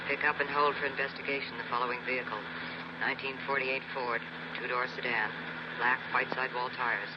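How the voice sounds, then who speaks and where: An actor playing a flat, official police dispatcher making a formal, measured call over a car radio. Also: male voice, mid-range voice. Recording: noisy, muffled police radio sound.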